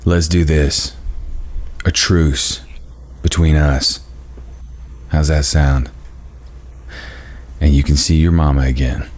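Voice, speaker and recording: A cocky, mocking demon voiced by a man at a table read who drawls his taunts in a very deep, unhurried voice. He sounds sly and folksy, needling his listener. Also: steady tone, darkish tone, full character voice. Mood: scheming. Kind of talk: table read of a drama script.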